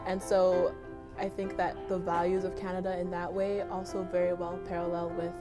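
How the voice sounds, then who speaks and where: A woman from a family from Nigeria, giving thoughtful, measured interview answers. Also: lowish voice, level tone, loud music behind the voice.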